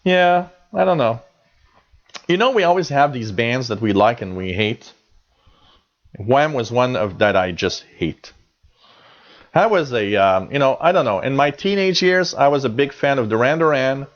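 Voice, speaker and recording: A man's voice: mid-range voice, expressive, moderate pace. A chatty Canadian man in his forties, his voice sitting in a middle range. He rambles along with plenty of ums and restarts as he hosts a live show. The audio is a bit dull and lo-fi. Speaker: radio show host reading chat. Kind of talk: casual live chat.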